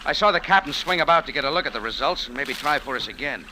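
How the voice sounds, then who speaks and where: An actor playing a tough, sardonic American café owner in an old-time radio adventure, with clipped, commanding delivery. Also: male voice, medium pitch, lively intonation, quick pace, close to an everyday voice. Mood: wry narrating. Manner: hard-boiled, clipped, commanding. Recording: noisy old radio drama recording.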